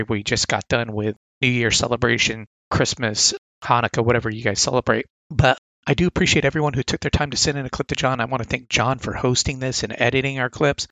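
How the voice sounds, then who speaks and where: Guy with a sore throat going thru comic picks, bright voice, dead dry sound, reads blurbs then gets all excited. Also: medium pitch, no echo, rough recording. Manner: enthusiastic, reads aloud with asides. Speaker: comic catalog reviewer. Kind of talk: casual monologue, reading blurbs.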